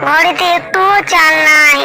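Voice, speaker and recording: A woman's voice: extremely high pitch, fairly even intonation, a little slow, over loud music. A woman voicing a worried poor village wife in a cartoon who talks fretfully.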